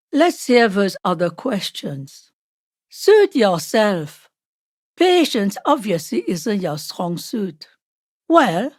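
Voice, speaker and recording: Slow-spoken, wry old washerwoman. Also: mid-range voice, no echo, studio quality. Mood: dry, impatient. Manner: unhurried, wry, scolding, dryly teasing. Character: weary, sly old washerwoman, poor-sighted, blunt but caring. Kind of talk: video game character acting.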